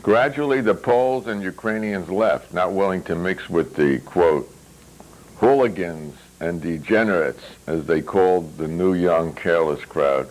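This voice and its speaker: Very low-voiced man reading fiction. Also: measured pace, rough recording. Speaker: author reading their own novel. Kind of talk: literary reading with spoken asides.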